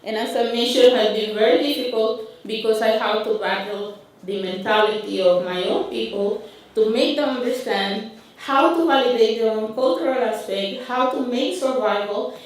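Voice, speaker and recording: A woman from Honduras with a Honduran Spanish accent giving a conference talk with slides, speaking haltingly with frequent restarts and fillers. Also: on the high side. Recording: roomy hall, speaker far from mic.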